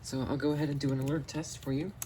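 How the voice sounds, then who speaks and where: A man talks casually through a product demonstration like a hobbyist showing off his finds. It is a home video with clear background noise, picked up on a camera microphone indoors. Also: fairly high pitch, level tone, rapid-fire. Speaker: video host, hobbyist collector.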